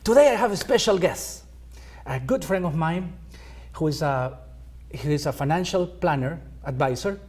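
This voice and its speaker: A man hosting a talk show from a school TV studio, asking questions haltingly and repeating words. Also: fairly high pitch, animated, darkish tone, husky. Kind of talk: interviewing a guest.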